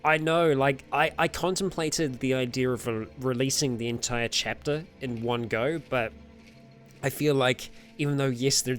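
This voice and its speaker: A man hosting a casual livestream and answering viewer comments, rambling a little with fillers, self-corrections and frequent laughs. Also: mid-range voice. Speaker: streamer host.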